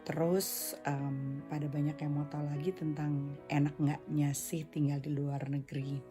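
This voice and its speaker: A woman's voice: deep voice, level tone, a little slow, over music. A woman hosting a casual solo podcast, chatting conversationally and mixing in English phrases. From Indonesia. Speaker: podcast and vlog host.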